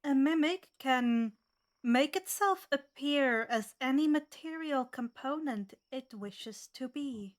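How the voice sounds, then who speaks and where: A woman's voice: sits high, lively intonation, slow. A woman narrating a tabletop fantasy game as the game master.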